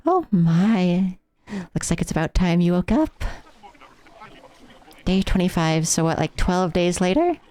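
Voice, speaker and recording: A woman's voice: fairly low pitch, expressive, measured pace, pauses often, mellow. An American woman with a slight speech impediment and a trained, affected silly voice, casually commentating a game as a live streamer, musing and easily amused. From United States, Rocky Mountain area. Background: video game music.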